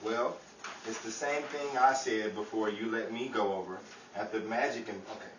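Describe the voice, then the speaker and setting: A man's voice: lowish voice, steady tone, moderate pace, fairly bright tone. A man who acts in a show, speaking as announcer and chatting casually with the cast. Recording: echoey, distant pickup, noisy background.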